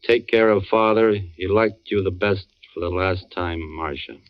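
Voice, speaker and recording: An actor playing an earnest, somber man, a knowing family friend, with measured dramatic delivery in 1940s radio style. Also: low voice. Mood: grave. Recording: muffled old radio recording.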